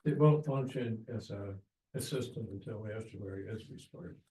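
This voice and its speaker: A man's voice: fairly low pitch, normal speed, mellow. Off-mic man in echoey hall. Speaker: audience member. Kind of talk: asking a question at a meeting. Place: community meeting room.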